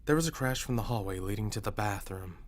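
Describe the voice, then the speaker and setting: A man narrating a scripted first-person horror story in a measured storyteller's delivery. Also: on the low side, lively intonation, very noisy.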